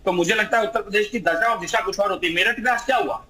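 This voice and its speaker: A man's voice: high voice, quick pace, pushed and bright. A man arguing as a political panelist in a TV debate, emphatic and fond of rhetorical questions, with some noise on the recording. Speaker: political panelist, party spokesperson. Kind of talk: heated political debate argument. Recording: clear background noise.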